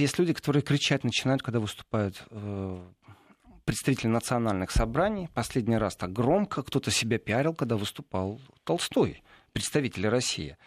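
A man answering interview questions in a relaxed, digressive way, with many fillers and asides. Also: mid-range voice, dry recording, studio quality. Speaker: guest describing parliamentary assembly.

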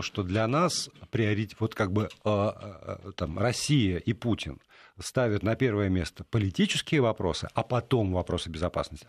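A man from Russia arguing his point in a political talk show, pressing hard on key words between frequent pauses and restarts. His voice sits a little low and sounds close and dry. It is recorded in a studio. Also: dry recording. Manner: emphatic, many hesitations and restarts.

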